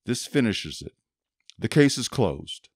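An actor playing a stern, obsessive police inspector in a radio drama, speaking in a measured, formal, deliberate way. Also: male voice, lowish voice, very animated, a little mellow, husky, no echo, clean studio sound, full character voice. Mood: cold finality. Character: stern, obsessive male police inspector dictating his notebook.

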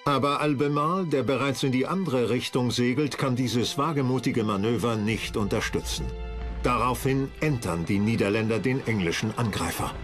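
A man's voice: mid-range voice, moderate pace. A man narrating a historical documentary from a script in a dramatic storytelling style. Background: music.

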